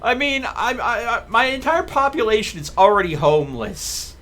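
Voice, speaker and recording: A man's voice: extremely high pitch, sing-song, moderate pace, bright, forceful tone. A man doing live gameplay commentary as a streamer, chatting to his viewers and muttering to himself as he plays. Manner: talks to chat and mutters to himself. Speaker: game streamer.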